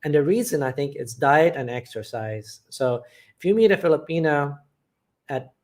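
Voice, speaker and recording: A man's voice: fairly high pitch, expressive, normal speed, a little mellow. Blunt, matter-of-fact man from England. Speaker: channel host. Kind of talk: live chat reading viewer comments.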